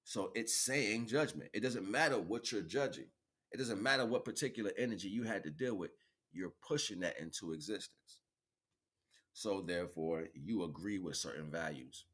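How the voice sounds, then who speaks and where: A man's voice: low voice, animated, normal speed, a bit bright, husky. A man giving an improvised motivational monologue as a livestream host, preaching in colloquial phrasing with emphatic repetition.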